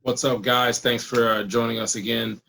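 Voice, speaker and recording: Casual male co-host, mid pitch. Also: little pitch movement, normal speed, vocal fry, bright, forceful tone. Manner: loose, casual phrasing. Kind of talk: casual interview questions.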